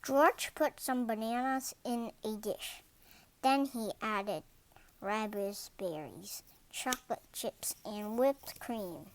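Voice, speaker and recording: A young-sounding reader narrating a children's story aloud, deliberately and word by word. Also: mid-range voice, slight background noise.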